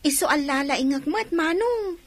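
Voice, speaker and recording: A woman's voice: high voice, expressive, a little fast. A woman playing a cheerful, affectionate young girl, a devoted little sister in a radio drama. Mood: eager, affectionate.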